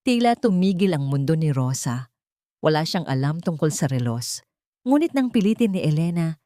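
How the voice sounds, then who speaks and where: A woman with a fairly low voice reads a scripted drama. Her storytelling is solemn and emotive. The recording is dry, with very little room sound. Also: dark tone, dry recording. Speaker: narrator.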